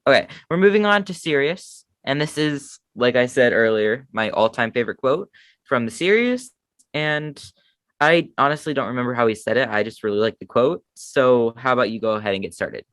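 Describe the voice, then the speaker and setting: A man co-hosting a casual fan chat, easygoing and self-deprecating, with a high-pitched raspy voice. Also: animated, brisk, fairly bright tone, no room sound. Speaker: co-host.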